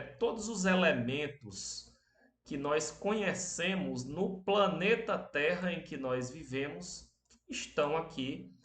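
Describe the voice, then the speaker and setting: A Brazilian man teaching an online class, speaking softly because he has a cold and a sore throat. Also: fairly high pitch, reverberant. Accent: Brazilian Portuguese. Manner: speaks softly, slow and unhurried, many tag questions.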